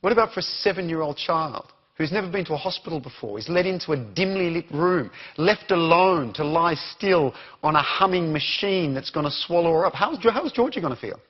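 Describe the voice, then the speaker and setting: Animated Australian man giving a talk. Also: a little high, a little fast, hardly any pauses, highs cut off. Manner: animated, questions the audience. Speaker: conference speaker. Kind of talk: interactive keynote talk.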